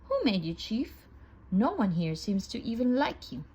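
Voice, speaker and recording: A woman's voice: fairly high pitch, a little slow, slightly rough, dull audio, full character voice. A woman voicing a friendly, kind male rooster in a read-aloud storybook. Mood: firm. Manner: friendly, calm.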